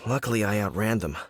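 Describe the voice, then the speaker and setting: A man's voice: on the low side, dry recording. A man voicing a flustered, indignant fruit trader in a video game, exasperated and dramatic. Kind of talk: scripted video game voice acting.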